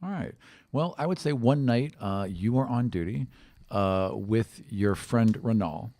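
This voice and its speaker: A man with a fairly low voice acts as game master for a tabletop role-playing game. He improvises narration conversationally, dropping in casual asides. The sound is dry, with very little room. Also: unhurried, dark tone, no room sound.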